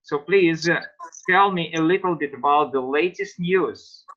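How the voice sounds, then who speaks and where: Bright-voiced man teaching an online English lesson. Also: fairly high pitch, dull audio. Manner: slow, patient questioning of students.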